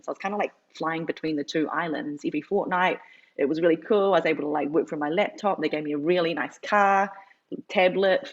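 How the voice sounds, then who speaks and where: A woman's voice: low voice, muffled recording. A woman, telling her story casually as an interview guest, with lots of "like" fillers. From New Zealand. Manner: chatty, filler-heavy, lots of "like".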